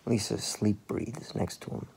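Hushed, gravelly man narrating slowly. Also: a little hiss or hum, rough recording. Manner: hushed, low-energy, lying down. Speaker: actor giving a staged reading. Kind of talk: quiet scripted narration of a stage play. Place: bedroom at home.

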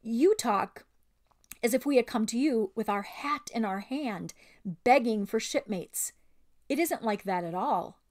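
A young storybook king, played by a woman reader addressing his men with regal authority. The delivery is formal and oratorical, haughty yet stirring, a little raised in pitch. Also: lively intonation, a little slow, darkish tone. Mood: haughty, commanding.

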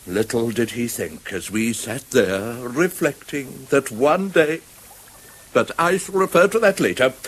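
Radio play elder badger: English, grave, sententious, very unhurried delivery. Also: male voice, mid-range voice, fairly bright tone, rough recording. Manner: ponderous, sententious, sighing. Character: grave, solemn older male badger, disapproving. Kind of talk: 1970s radio drama acting.

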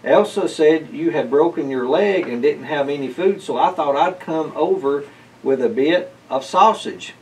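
A man's voice: on the high side, a little slow, darkish tone, pitched above the performer's own voice, close to an everyday voice. A man reading the part of a kindly old neighbour woman in a storybook, in a greeting tone. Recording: distant mic, slight background noise.

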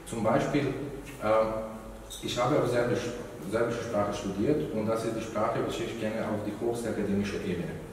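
A middle-aged man whose first language is Romani, opening a lecture event in German with many pauses and fillers, searching for words, recorded from a distant microphone in an echoey hall. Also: on the low side, background noise. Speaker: event moderator. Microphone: far room microphone.

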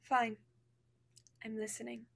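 A woman's voice: a little high, flat delivery, slow, creaky, fairly bright tone, exaggerated voice. A young actress playing a controlling, bitter mother, insistent and commanding, in a staged reading over video call. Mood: cold, firm. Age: young adult. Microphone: video call at desk distance.

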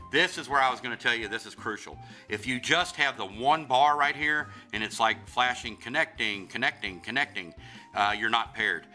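Forceful, bright-voiced Kentucky man. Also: lowish voice. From Kentucky, USA. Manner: folksy, enthusiastic, repeats himself. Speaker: HVAC contractor hosting tech tip videos. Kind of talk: hands-on tutorial narration.

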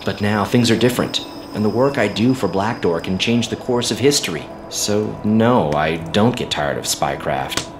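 A man acts out a battered covert agent in an audio drama, earnest and defiant. His low voice is deliberate and intense, proud of his duty. Clear noise runs behind him. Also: lively intonation, natural voice. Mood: brooding, earnest. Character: wounded, defiant male covert intelligence agent, earnest and patriotic.

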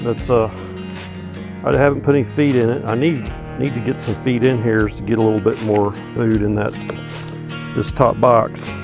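A man talks casually and folksily as he works his beehives, thinking aloud between fillers. His voice sits at an ordinary middle pitch. Clear music and buzzing bees fill the background of this outdoor recording. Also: fairly even intonation, moderate pace. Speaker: beekeeper vlogger. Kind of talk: casual narration while working. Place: outdoors in a wooded bee yard.

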